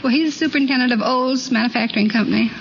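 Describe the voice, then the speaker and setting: A woman's voice: a little high, very fast, creaky. A woman contestant giving self-assured, matter-of-fact answers in an old radio quiz interview. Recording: muffled, noisy 1940s radio broadcast.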